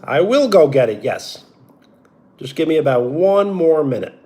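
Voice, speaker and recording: A man's voice: fairly high pitch, big pitch swings, a little slow. Brooklyn man greeting his live chat. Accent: Brooklyn, New York. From New York. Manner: greets chatters with a loud, stretched-out "yo"; talks like a sports radio personality. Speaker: live streamer. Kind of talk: casual live stream chat with viewers.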